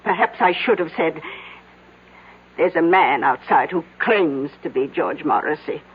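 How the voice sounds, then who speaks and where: Husky, flustered secretary. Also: female voice, deep voice, expressive, full character voice. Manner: hesitant, formal, halting. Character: flustered, deferential female secretary, uneasy and hesitant. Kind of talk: radio drama acting. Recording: muffled, tinny old radio broadcast.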